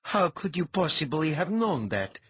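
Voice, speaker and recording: A husky-voiced audiobook reader voicing a nervous young woman who speaks slowly and anxiously. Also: mid-range voice, big pitch swings, narrow, tinny audio, pitched above the performer's own voice. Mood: shaken. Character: pale blonde girl, nervous and fervent.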